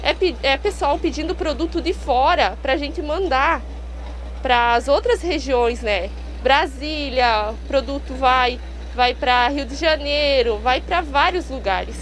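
Young southern brazilian woman chatting in an interview, high voice, bit muffled by mask, hum and noise behind. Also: slow. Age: young adult. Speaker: farmer, interview guest. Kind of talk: conversational live interview answers. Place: outdoors in a park at a fair. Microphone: handheld microphone. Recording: clear background noise and hum outdoors.

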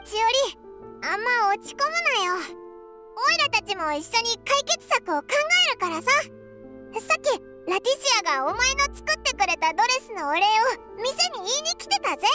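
A woman voicing a small, cheeky, childlike fairy companion in a Japanese game dub, with a squeaky voice and brash, boyish casual speech. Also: big pitch swings, moderate pace. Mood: encouraging. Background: background music.

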